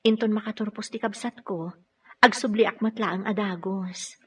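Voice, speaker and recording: Casting: earnest, loving Filipino sweetheart, forceful bright tone, radio drama, slightly away from the mic. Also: female voice, medium pitch, fairly even intonation, normal speed, breathy edge. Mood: reassuring. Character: loving, determined sweetheart, a teacher leaving for abroad. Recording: distant, off-mic.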